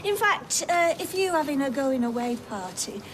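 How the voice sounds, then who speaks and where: An actress playing a sharp-tongued neighbour with a mocking manner. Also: female voice, high-pitched, very animated, moderate pace, background noise.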